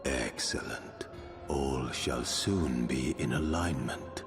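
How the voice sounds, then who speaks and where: An actor voicing a coldly scheming, menacing dark sorcerer in a video game, with drawn-out, deliberate delivery. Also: male voice, very deep voice, vocal fry, over music, exaggerated voice. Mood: gloating menace.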